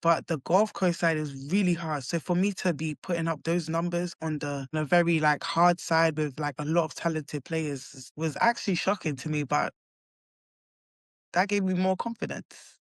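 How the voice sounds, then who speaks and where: A woman's voice: low-pitched, moderate pace, no echo. A young woman with a London accent, chatting as a guest in an interview and talking hesitantly, with lots of likes and restarts. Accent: London English. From London, England. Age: young adult. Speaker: college basketball player guest. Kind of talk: casual interview chat.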